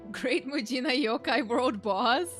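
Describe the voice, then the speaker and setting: A young woman streamer chats casually over a video game in a high voice. She is giggly and a little hesitant in how she puts things. Faint game music sits behind her. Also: slow, fairly bright tone. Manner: chatty, giggly, hesitant storytelling. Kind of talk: casual let's play commentary.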